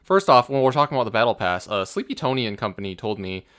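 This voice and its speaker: A man's voice: medium pitch, lively intonation, fast talker, fairly bright tone. A man co-hosting a casual gaming chat, explaining things in a loose, hesitant way with frequent asides. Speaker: podcast co-host.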